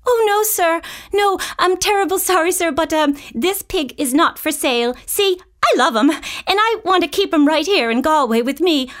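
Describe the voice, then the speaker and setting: A woman's voice: normal speed, few pauses. A woman voicing a young girl who proudly refuses to sell her beloved pig. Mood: pleading, earnest. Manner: high, pleading and earnest.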